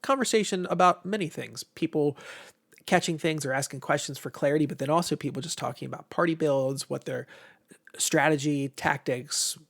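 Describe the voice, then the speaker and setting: Congested American man, creaky casual voice. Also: fairly high pitch, normal speed, fairly bright tone. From Midwestern US, Ohio. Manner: rambling, informal, mildly profane. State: congested with allergies, voice tiring. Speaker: host of a game publisher's livestream. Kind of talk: casual livestream chat.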